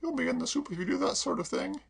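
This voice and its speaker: A man voicing a warm-hearted young woman running a household. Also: very high voice, big pitch swings, fast talker, gravelly, breathy edge, pitched above the performer's own voice, exaggerated voice. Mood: patient.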